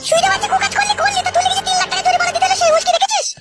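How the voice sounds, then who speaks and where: A woman voicing a furious, sharp-tongued young village wife in a comic cartoon, shrill and cursing, with a rural West Bengal accent. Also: flat delivery, rapid-fire, over music. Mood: complaining.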